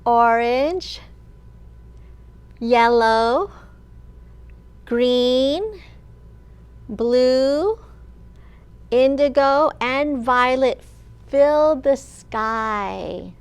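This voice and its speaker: High-pitched woman reading slowly to children. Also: clear, resonant tone. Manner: sing-song, asks questions and pauses for child listeners. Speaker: children's librarian and storytime host. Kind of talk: expressive read-aloud of a picture book to young children.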